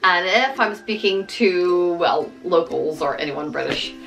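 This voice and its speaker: A woman's voice: lowish voice, animated, unhurried, vocal fry, a bit bright, faint music behind, poor audio. A middle-aged woman, originally from the United States, with an American accent touched by Scottish, chatting to camera in a self-deprecating way, with a lot of ums. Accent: American, with some Scottish. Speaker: vlogger. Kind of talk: casual talk to camera.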